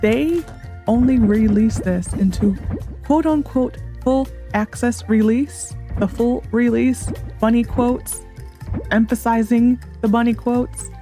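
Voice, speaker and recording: A young woman with a hoarse, sore voice, rambling through casual gameplay commentary with frustrated sarcasm. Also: on the high side, slow pace, warm tone, soft background music. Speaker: gaming YouTuber.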